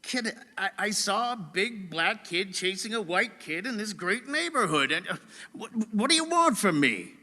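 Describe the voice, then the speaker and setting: A man's voice: sits high, sing-song, moderate pace, pushed and bright, in a large hall, exaggerated voice. A man playing a defensive, flustered police officer in an audio drama.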